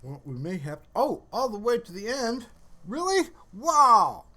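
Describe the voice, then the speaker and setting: A man's voice: extremely high pitch, very animated, unhurried, bright, forceful tone. A man casually rambling to livestream viewers in a playful, mock-earnest, musing way. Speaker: livestreamer hosting his own stream.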